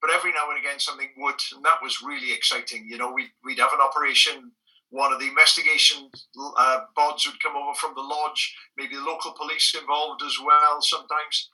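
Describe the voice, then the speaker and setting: A man's voice: a little high, brisk, recorded from a distance, rough recording. A middle-aged Welsh man, a native Welsh speaker, telling frank anecdotes with lots of restarts as a guest in a conversational interview. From Wales. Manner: frank, animated storyteller with frequent restarts. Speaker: guest, former bird protection officer.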